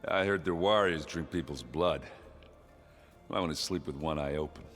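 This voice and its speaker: A man's voice: extremely low pitch, moderate pace, a bit bright. A man playing a burly, good-natured warrior in a game cutscene, with an informal, jokey way of talking. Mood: casual, joking. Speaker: game character actor. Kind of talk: animated game cutscene acting. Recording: reverberant hall, music behind.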